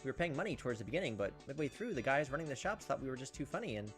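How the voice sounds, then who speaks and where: Guy doing a let's play, reads story text fast with crude joking asides, game music behind. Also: mid-range voice, sing-song. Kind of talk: reading a visual novel aloud with casual asides.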